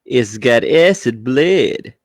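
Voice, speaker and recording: A man's voice: mid-range voice, expressive, slow, no room sound, clean studio sound. A man chatting casually as a player in a tabletop role-playing game.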